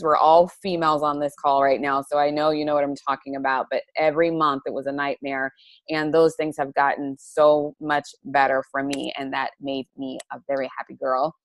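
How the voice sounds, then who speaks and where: A woman's voice: sits low, no echo. A woman in her forties sharing an earnest, chatty personal testimonial over a webcam video call. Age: 40s. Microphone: laptop webcam on a video call.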